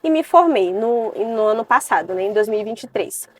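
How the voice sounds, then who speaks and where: A woman in her twenties from Salvador, Brazil, talking earnestly as a podcast guest and often pausing and adding "né". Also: on the high side, animated. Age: 20s. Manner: earnest, with many hesitations and "né" tags. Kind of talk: casual interview chat.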